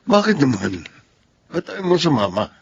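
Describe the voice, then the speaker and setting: Earnest Filipino husband, animated delivery. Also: male voice, on the high side, normal speed, vocal fry, slight background noise. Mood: easygoing. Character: earnest, patient, peace-keeping husband and dutiful son. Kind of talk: Filipino radio drama acting. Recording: dull lo-fi audio, faint music behind.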